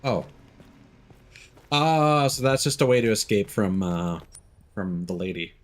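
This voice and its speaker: A man's voice: on the low side, animated, unhurried. A man giving casual gameplay commentary as a streamer, muttering to himself and reading in-game notes aloud.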